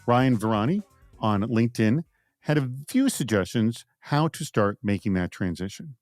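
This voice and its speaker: A man's voice: fairly low pitch, expressive, normal speed, darkish tone, faint music behind. A man hosting a panel podcast, reading out prompts and putting questions to guests. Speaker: podcast host.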